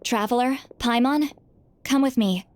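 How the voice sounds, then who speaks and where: Woman voicing proud guarded game shamaness, kinda high voice, blunt but tender, echoey w music. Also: unhurried, darkish tone, natural voice. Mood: resolved, subdued. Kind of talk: dramatic game voice acting. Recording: long reverb with music underneath.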